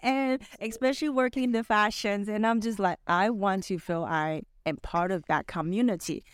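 A woman's voice: mid-range voice, normal speed, smooth, no room sound, studio quality. A middle-aged woman who grew up in Canada, Mandarin her first language, telling stories as a podcast co-host, rambling with many fillers. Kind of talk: casual podcast chat.

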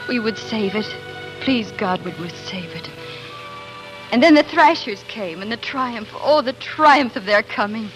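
A woman's voice: fairly high pitch, lively intonation, a little slow, rough recording. An actress playing an earnest young pioneer farm wife and mother, telling her story in a 1940s radio drama. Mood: reverent. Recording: 1940s radio recording with music.

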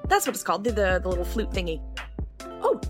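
A woman's voice: mid-range voice, very animated, fast, over music, close to an everyday voice. A woman playing a petty, indignant neighbor in a wry, theatrical yet conversational comic monologue. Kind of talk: comic monologue in audio drama.

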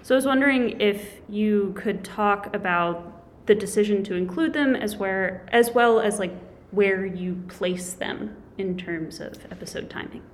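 A woman podcast co-host, reading a prepared introduction clearly and asking interview questions. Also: medium pitch, a little slow, a little hiss or hum.

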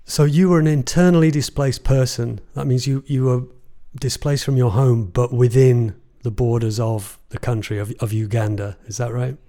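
A man hosting a podcast, narrating and interviewing in a clear, composed presenter manner. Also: medium pitch, fairly even intonation, moderate pace, mellow. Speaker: podcast host.

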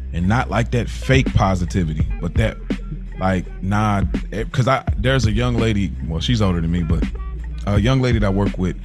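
An American man co-hosting a podcast, speaking casually in a halting, reflective, conversational way. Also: low voice. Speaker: podcast co-host. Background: music under the voice.

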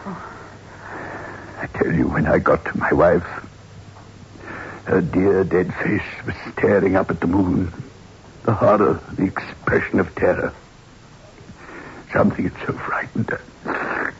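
Haunted old widower, low grave voice, courteous and foreboding, for vintage radio horror; muffled, noisy recording. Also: male voice, takes it slowly, pauses often. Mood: horrified, grieving. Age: older. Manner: grave, courteous, hesitant storytelling. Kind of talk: 1960s radio horror drama acting.

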